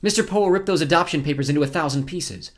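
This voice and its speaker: A man's voice: fairly high pitch, fast talker, pitched above the performer's own voice, lightly acted. A man voicing a brave, earnest, indignant teenage orphan girl in a storybook reading. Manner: earnest, firm.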